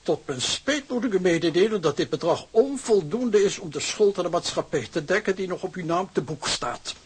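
High-voiced, husky, fussy clerk. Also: male voice, animated, a little fast, full character voice. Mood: apologetic. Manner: formal, bureaucratic, hesitant. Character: fussy, pedantic male bookkeeping clerk. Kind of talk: Dutch radio drama acting.

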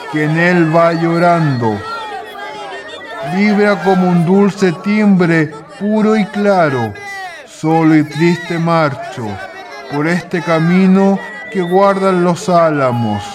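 A man playing a mournful poet, reciting verse plaintively in a radio drama. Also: a little high. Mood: melancholy. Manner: slow, plaintive, drawn-out recitation. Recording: noisy, with outdoor crowd ambience. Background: crowd, outdoor town ambience.

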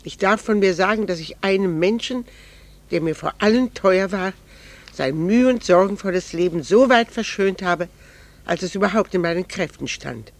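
Casting: actress for a middle-aged wife of quiet, renounced passion, composed and earnest, formal diction, vintage radio play. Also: female voice, mid-range voice, normal speed, natural voice. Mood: restrained, devoted. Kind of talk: 1960s German radio drama acting.

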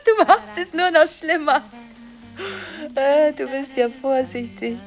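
An actress playing a volatile, contradictory school headmistress in a radio drama, talking restlessly on the phone, breaking off and repeating herself. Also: female voice, sits high, takes it slowly, soft background music. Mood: giggly, teasing.

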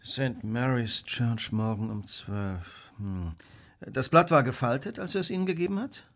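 A man acting a composed Victorian master detective in a radio crime drama, measured, analytical and formally polite. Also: mid-range voice, animated, fairly bright tone. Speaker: actor in a detective radio play.

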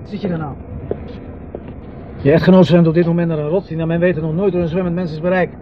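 A man's voice: fairly high pitch, level tone, fast, lightly acted. A man playing a curt, evasive, reclusive brother in a radio drama, brusque and dismissive. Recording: dull noisy old radio recording, outdoor ambience.

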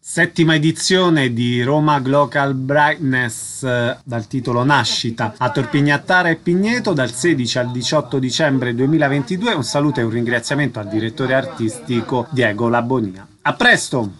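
A man's voice: medium pitch, normal speed, few pauses, fairly bright tone. A man hosting a radio programme, asking questions and presenting items. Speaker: radio host. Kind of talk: radio interview and agenda presenting.

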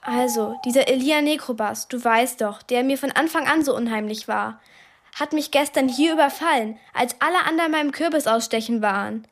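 A woman's voice: high voice, normal speed. An actress playing an earnest, urgent twelve-year-old girl in a radio play. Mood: anxious, earnest.

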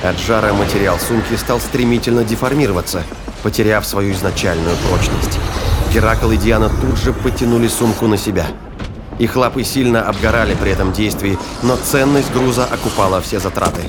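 A man's voice: lowish voice, a little fast, heavy background noise. A man reading dramatic scripted narration for a science fiction thriller audio drama. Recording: loud music and battle effects behind.

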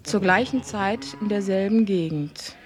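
A woman's voice: mid-range voice, measured pace, lightly acted. A woman narrating a comic radio play as a scripted story. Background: music.

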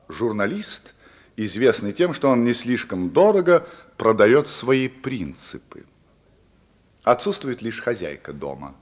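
A man narrating a radio play as a measured, ironic storyteller with dramatic pauses. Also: mid-range voice. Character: male narrator, measured, wry storyteller of high society. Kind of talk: theatrical scripted narration.

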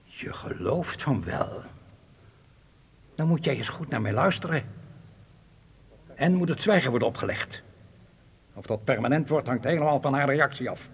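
An actor playing a cold, ruthless spy boss in a radio drama, with a commanding, menacing, deliberate delivery. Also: male voice, mid-range voice, lively intonation, slightly rough. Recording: hall-like long reverb.

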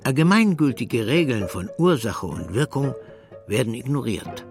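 A man reading scripted narration aloud in a measured, deliberate manner with a creaky voice. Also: very animated. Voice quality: low, creaky. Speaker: narrator, reader. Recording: slightly distant microphone, soft music.

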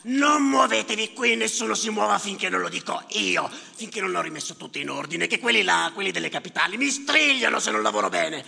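Gravelly, raspy, boastful old-school stage general. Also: male voice, high-pitched, animated, fairly quick, few pauses, pushed and bright, heavily acted. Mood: commanding, exasperated. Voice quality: harsh, gravelly voice. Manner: declamatory, commanding, emphatic, sardonic. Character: boastful, bitter, self-appointed island general herding dead spirits. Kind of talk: theatrical monologue performed on stage. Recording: echoing theater hall with live music.

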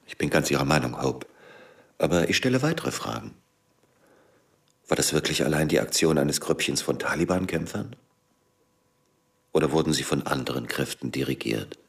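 An actor playing a grim, driven middle-aged former intelligence operative in a radio drama, sombre, deliberate and terse. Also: male voice, rumbling low, animated, vocal fry, exaggerated voice. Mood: insistent, restrained. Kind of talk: radio drama acting and first-person narration. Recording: studio radio play with music underneath.

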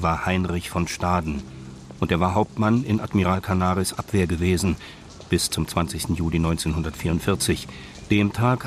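A man's voice: sits low, a little hiss or hum. A man reading scripted narration for a crime radio drama.

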